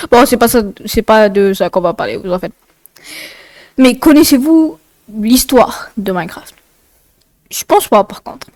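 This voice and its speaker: A woman's voice: on the high side, a little mellow, dry recording. A woman with a slightly congested nose narrating a casual explainer video in an informal, rambling way full of asides. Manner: informal, rambling, often digressing.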